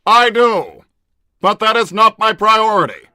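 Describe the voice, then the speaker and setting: Bright, blunt combat robot. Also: male voice, sits high, a little slow, clear, resonant tone, no echo, studio quality, exaggerated voice. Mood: deadpan, dismissive. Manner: formal, deadpan, stilted. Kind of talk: in-character livestream roleplay, reading viewer messages.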